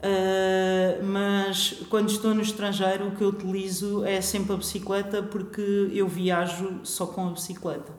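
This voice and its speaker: A woman from Portugal with a European Portuguese accent, a guest talking about her travels in a relaxed, thoughtful interview. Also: medium pitch, a little slow, hardly any pauses. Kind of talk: casual interview chat. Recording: echoey room with long reverb.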